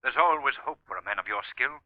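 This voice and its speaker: A man's voice: medium pitch, expressive, quick pace, no echo, natural voice. An actor playing an earnest, alarmed man pleading urgently in a 1930s radio drama. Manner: urgent, imploring. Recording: dull, dry 1930s radio recording.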